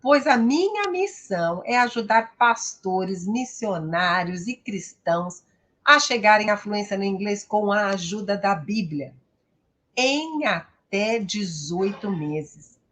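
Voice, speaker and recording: A woman's voice: mid-range voice, expressive, slow, fairly bright tone. A woman with a Brazilian accent speaking as an English teacher and host, giving an instructional, promotional talk in a didactic, emphatic manner with frequent tag questions.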